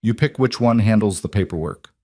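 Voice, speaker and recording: A man voicing an earnest, remorseful yet controlling mafia boss husband in an audiobook, measured and insistent. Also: fairly low pitch, dark tone, no echo, clean studio sound, close to an everyday voice.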